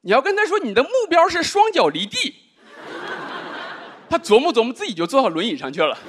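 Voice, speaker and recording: A man in his thirties performing a deadpan stand-up comedy set on a large studio stage, speaking into a handheld microphone. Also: extremely high pitch, fairly quick, breathy edge. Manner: dry, deadpan delivery with rhetorical asides. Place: large indoor studio stage with live audience. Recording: live audience laughter.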